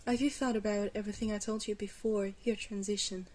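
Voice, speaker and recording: A woman playing a glamorous vampire mentor in an ASMR roleplay, patient, reassuring and gently wry, with a soft, hesitant delivery. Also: mid-range voice, fairly even intonation, brisk, close to an everyday voice. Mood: gentle, reassuring.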